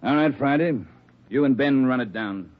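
A man's voice: mid-range voice, normal speed, slight background noise, natural voice. An actor playing a firm male police chief who gives his detectives orders in a 1940s radio drama. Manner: firm, directive. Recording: tinny 1949 radio broadcast.

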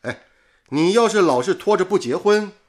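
An actor playing a chatty, blunt and loyal radio engineer in a radio drama, exclamatory and teasing. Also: male voice, sits high, expressive, normal speed.

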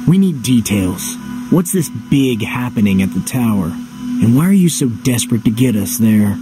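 A man playing a cynical, gruff young swordsman in a video game, speaking curtly and dryly. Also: mid-range voice, sing-song, normal speed, natural voice, low-quality recording. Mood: suspicious. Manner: curt, dry, suspicious. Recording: music behind.

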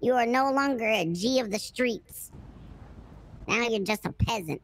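A woman's voice: on the high side, measured pace, slight background noise, rough recording. A woman streamer roleplaying a brash, profane criminal, bickering and shrieking when angry. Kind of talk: in-character roleplay banter.

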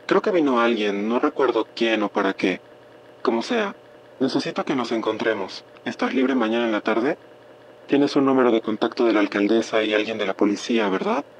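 A man's voice: medium pitch, sing-song, a little fast, vocal fry, highs cut off, slight background noise. A man with a Latin American accent playing an earnest, uneasy scientist leaving voicemail messages in a radio drama. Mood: earnest, distracted. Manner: earnest, preoccupied.

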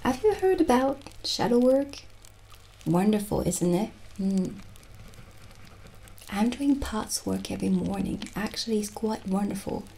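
A woman playing a gentle, dreamy witch shopkeeper in an improvised ASMR roleplay, musing soothingly with pauses. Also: medium pitch, creaky, a little hiss or hum, rough recording.

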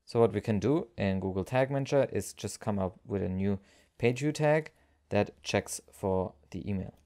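A man narrating a software tutorial as its presenter, explaining things step by step. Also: fairly low pitch, normal speed.

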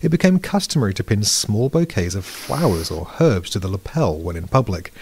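A British man giving a scripted podcast explanation, measured and dryly witty. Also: fairly low pitch, sing-song, a little mellow, a little hiss or hum.

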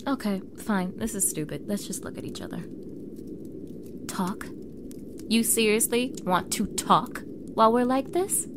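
A young woman playing a defensive mean girl with a vulnerable side in an intimate ASMR roleplay, halting and often dropping to a breathy near-whisper. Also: mid-range voice, lightly acted. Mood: awkward, reluctant. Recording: clearly audible background noise bed.

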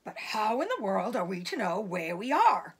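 A woman voicing an excitable, hungry male badger in a storybook, eager and exclamatory. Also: medium pitch, normal speed, breathy edge. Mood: puzzled.

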